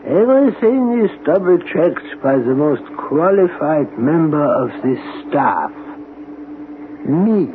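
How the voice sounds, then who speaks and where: An arrogant, eccentric older scientist in a 1970s radio drama. He speaks slowly and pompously, utterly sure of himself. The sound is thin and narrow, with clear noise over it. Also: a little high, big pitch swings. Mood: haughty, firm. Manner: pompous, deliberate. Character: eccentric, arrogant older genius scientist, smugly self-assured. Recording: noisy, narrow-band 1970s radio recording.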